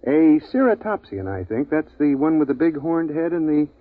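An actor playing a meek, earnest, bookish man who longs for adventure, speaking haltingly in a 1950s radio drama. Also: on the high side, sing-song. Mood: nervous, earnest. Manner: hesitant, apologetic, halting. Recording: thin, phone-like 1950s radio recording.

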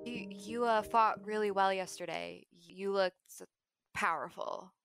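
A woman's voice: medium pitch, measured pace, gravelly, fairly bright tone, soft background music. An actress playing a shy, flustered young woman being courted, speaking hesitantly in an audio drama. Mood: shy, admiring.

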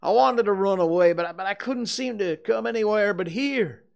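A man's voice: a little high, brisk, clear, resonant tone, pitched above the performer's own voice, close to an everyday voice. A man voicing a terrified, broken accused man in an audiobook, pleading and faltering through sobs. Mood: moaning despair. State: sobbing. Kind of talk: audiobook character acting.

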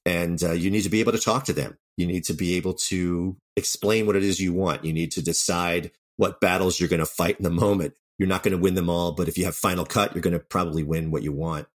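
Deep-voiced man in casual interview. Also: fairly even intonation, fast talker, few pauses. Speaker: writer and director, podcast guest.

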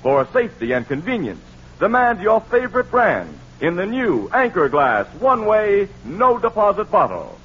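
Polished American male announcer, very animated, muffled old-time radio over music. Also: high-pitched, normal speed, hardly any pauses, husky. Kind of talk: 1940s radio commercial and credits announcing.

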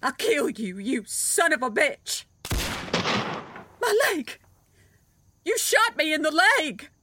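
A woman's voice: high voice, big pitch swings, slow, noisy background, exaggerated voice. An actress playing an embittered, defiant rural farm woman in an audio drama, with folksy, scornful speech. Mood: pained fury.

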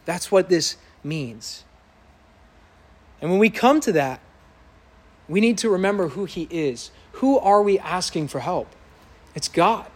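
A man preaching to his congregation in a church, his voice loud and somewhat high for a man. He speaks earnestly and with emphasis, building to impassioned peaks. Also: animated, unhurried, long pauses. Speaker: pastor. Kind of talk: sermon.

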